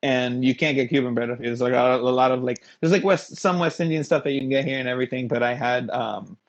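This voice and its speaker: A man's voice: mid-range voice, fast talker. A man from Miami chatting casually as a musician guest, with a slangy, emphatic way of talking. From Miami, Florida, United States. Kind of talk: casual chat. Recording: dull, lo-fi voice pickup.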